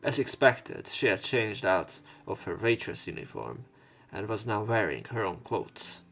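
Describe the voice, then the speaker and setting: A man's voice: lowish voice, expressive, measured pace, creaky, a bit bright. A man reading a visual novel aloud as a let's play host, adding informal, sweary commentary.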